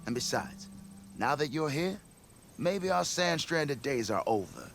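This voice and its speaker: Theatrical, swooping expedition hustler. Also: male voice, fairly high pitch, big pitch swings, measured pace, fairly bright tone, a little hiss or hum, full character voice. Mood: eager, persuasive. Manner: theatrical, grandiose storytelling. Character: blustering, scheming male expedition leader chasing ruins. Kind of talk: video game cutscene acting.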